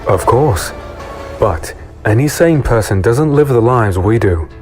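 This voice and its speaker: A man's voice: mid-range voice, lively intonation, measured pace, darkish tone, close to an everyday voice. A man playing an easygoing, teasing swordsman hero in an audio drama, speaking and narrating in a wry, conversational way. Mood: wry, relaxed. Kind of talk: audio drama dialogue and first-person narration. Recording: music bed behind the voice.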